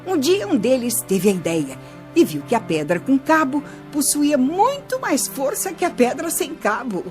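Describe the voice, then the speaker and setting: A wise old grandmother with a very animated, swooping delivery. She patiently teaches her grandchildren in a children's radio drama, with music behind her. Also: high-pitched, normal speed. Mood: patient, instructive. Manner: didactic, expressive storytelling. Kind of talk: children's radio play acting.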